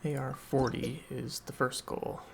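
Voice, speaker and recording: A man's voice: medium pitch, level tone, a little slow, gravelly, noisy background. A man giving casual live gameplay commentary as a streamer. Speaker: game streamer.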